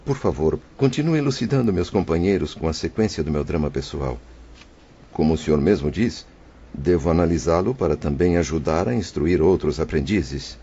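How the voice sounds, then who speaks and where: A man's voice: extremely low pitch, animated, moderate pace, dark tone, slightly rough, dull audio, heavily acted. A man playing a remorseful, humble spirit in an audio drama, speaking formally and with sorrow. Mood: sorrowful, earnest. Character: remorseful, embittered but humble male spirit under instruction.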